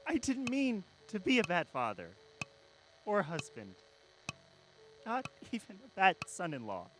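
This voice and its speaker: Actor as troubled man, swooping pitch. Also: wildly swooping pitch, a little slow, noisy background, heavily acted. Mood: regretful pleading. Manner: earnest, doubting, emotional. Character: troubled, regretful mortal man pleading with death. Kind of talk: radio drama acting. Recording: voice under music.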